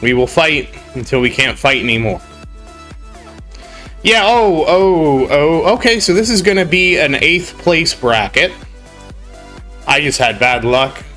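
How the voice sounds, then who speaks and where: A man's voice: on the high side, animated, unhurried, long pauses, bright, forceful tone, faint music behind. A young man with a cold and a congested voice, rambling and grumbling on a casual game live stream. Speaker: gaming streamer.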